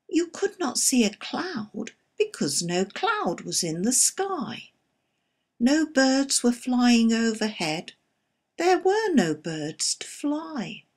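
A woman expressively reciting a narrative poem in a measured storytelling manner, with a slightly husky voice. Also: on the high side, darkish tone. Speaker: poetry reader.

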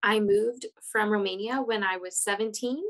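A woman interpreter voices a signing panelist in the first person over a video call. Her pitch sits mid-range, and she speaks in a steady, plain way. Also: moderate pace. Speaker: sign language interpreter voicing a panelist.

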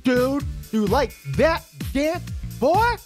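An excitable young man co-hosts an improvised gameplay roleplay with music underneath. His pitch jumps around as he talks in a silly, repetitive way. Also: drawn-out words. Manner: silly, excitable, repeats phrases. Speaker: gaming video co-host.

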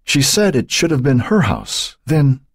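A man reading the part of a fearful, troubled woman under questioning, halting and husky. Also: medium pitch, wildly swooping pitch, dry recording, clean studio sound, voice pushed up, heavily acted. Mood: hesitant. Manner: halting, pleading, turning angry.

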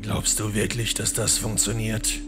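Ein Mann spricht einen wortkargen, trockenen Ziegenmann für ein Hörbuch. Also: male voice, on the low side, completely flat delivery, measured pace, voice pushed down, natural voice. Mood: skeptical. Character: gruff male goat-man, laconic and wry. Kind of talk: audiobook character voice. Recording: long reverb, music behind.

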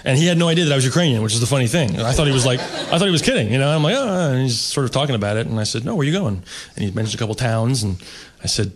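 A man's voice: mid-range voice, expressive, rapid-fire, crowd noise. Wry man telling a rambling comic story. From United States. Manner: wry, rambling with fillers, mock-snobbish asides. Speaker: stand-up comedian. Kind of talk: stand-up storytelling. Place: seated at a table, indoor stage.

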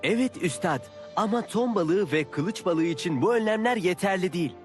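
A man's voice: sits high, expressive, normal speed, soft background music. An actor voicing a curious, questioning young male pupil in a dubbed educational cartoon.

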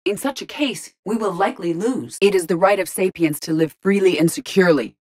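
An actress playing a calm, logical artificial intelligence in an android body in a video game, speaking formally and precisely with restrained emotion. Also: female voice, lowish voice, fairly quick, lightly acted. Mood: calm, matter-of-fact. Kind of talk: scripted video game voice acting.

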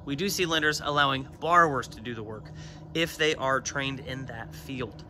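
A man's voice: mid-range voice, normal speed, bright, forceful tone. A man in his thirties, a renovation loan consultant, explaining a question casually and matter-of-factly to a phone camera held at arm's length outside a brick building. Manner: plain-spoken, matter-of-fact. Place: outdoors by a brick building on a street. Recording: outdoor street noise behind.

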